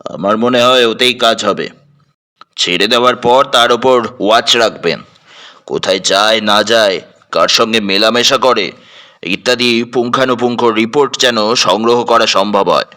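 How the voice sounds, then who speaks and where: Man playing forceful senior police chief. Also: on the low side, normal speed. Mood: commanding. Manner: commanding, formal. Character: authoritative senior police commissioner giving orders. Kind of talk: audio drama acting.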